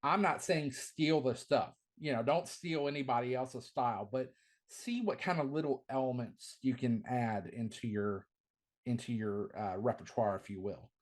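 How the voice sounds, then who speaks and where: A middle-aged man who calls and announces wrestling, chatting loosely in an interview. His voice sits at a medium pitch, not deep, but it carries well. He talks with eager energy and keeps doubling words as he gets a sentence going. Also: moderate pace. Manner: enthusiastic, repeats words at the start of phrases. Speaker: wrestling commentator and ring announcer. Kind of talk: casual podcast interview.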